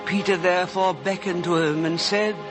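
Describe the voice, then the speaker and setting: An actor playing a solemn scripture narrator who also speaks the words of a grave Jesus, reverent and formal in a radio drama. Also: male voice, sits high, fairly even intonation, normal speed, close to an everyday voice. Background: prominent music.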